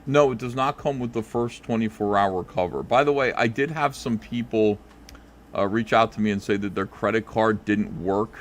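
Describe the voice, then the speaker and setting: A man's voice: on the low side, moderate pace, slight background noise. A man, a comic creator, chatting casually with callers on a live show and thinking aloud with lots of fillers. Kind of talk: casual live call-in chat.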